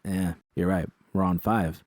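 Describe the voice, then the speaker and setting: Guy doing an easygoing sleepy girlfriend in an audiobook, mellow, dry recording. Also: low voice, fairly even intonation, unhurried, no room sound. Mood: relaxed, agreeable. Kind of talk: acted audiobook dialogue.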